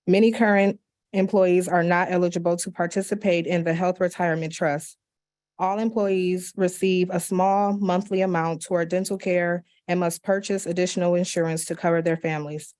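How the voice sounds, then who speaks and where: A woman at a county commission meeting, reading public comment letters aloud in a steady, measured way with small slips and restarts. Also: on the low side.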